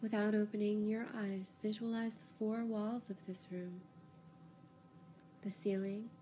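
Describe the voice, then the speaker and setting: A woman guiding a yoga nidra relaxation, giving calm, unhurried, soothing instructions with pauses. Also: mid-range voice, slight background noise, low-quality recording. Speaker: yoga nidra meditation guide. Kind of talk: guided relaxation meditation, slow instructions.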